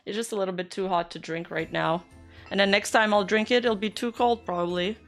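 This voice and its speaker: Casual woman streamer, slightly hoarse. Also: medium pitch, fairly even intonation, brisk, soft background music. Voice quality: hoarse, rough. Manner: informal, giggly. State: dry, hoarse voice. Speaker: livestream host. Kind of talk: casual chat to livestream viewers.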